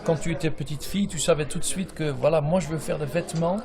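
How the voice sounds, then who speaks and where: Gravelly guy hosting, bit higher voice, trips over words, loud crowd around. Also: fast. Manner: stumbling, repeats words. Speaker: host interviewer. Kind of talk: casual interview questions. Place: bar evening event with crowd. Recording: crowd chatter behind.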